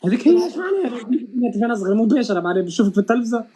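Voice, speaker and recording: A man's voice: extremely high pitch, very animated, rapid-fire, warm tone. A man teasing his friends and egging them on in casual live-stream chat.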